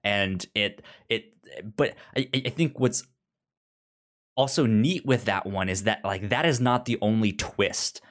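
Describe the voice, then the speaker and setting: A man co-hosting a casual review chat, talking haltingly and often stammering over repeated words. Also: lowish voice, a bit bright. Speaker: podcast co-host reviewing audio drama.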